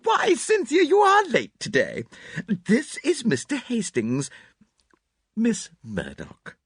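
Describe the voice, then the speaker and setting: Man putting on a high fluttery elderly lady of the house. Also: huge pitch swings, slow pace, a bit bright, breathy edge, dry recording, clean studio sound, full character voice. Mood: exclaiming. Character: gushing elderly woman, imperious lady of the house.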